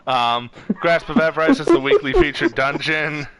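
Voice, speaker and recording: A man's voice: fairly high pitch, wildly swooping pitch, moderate pace, a bit bright, dry recording, rough recording. A man hosting a casual gaming stream, reading off lists informally, stumbling over words and correcting himself. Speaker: streamer host.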